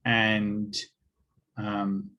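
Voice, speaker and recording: A man's voice: fairly low pitch, completely flat delivery, drawn-out words, highs cut off. A man who grew up in Africa, hosting a podcast and talking in a hesitant, searching way with plenty of fillers. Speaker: podcast host. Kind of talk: casual conversation.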